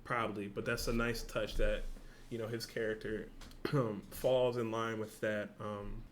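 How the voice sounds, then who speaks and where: A man hosting a reaction video, talking in a relaxed, thinking-aloud way and swearing now and then. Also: fairly low pitch, measured pace, slight background noise. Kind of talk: casual reaction commentary.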